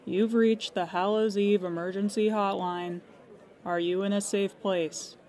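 A woman's voice: medium pitch, steady tone, measured pace, noisy background, close to an everyday voice. A woman playing a weary, sarcastic emergency hotline operator in an audio drama, with a dry, clipped official manner and plain exasperation.

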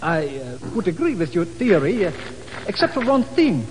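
Courteous, anxious colonel, 1950s radio style, animated delivery, slightly noisy vintage recording. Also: male voice, high voice, normal speed. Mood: measured, puzzled. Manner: formal, polite, precise wording. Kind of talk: 1950s radio drama acting.

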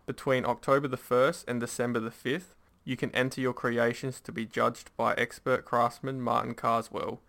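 A man's voice: medium pitch, little pitch movement, normal speed, creaky, a bit bright. A man hosting a casual podcast interview and reading the sponsor spot. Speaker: podcast host.

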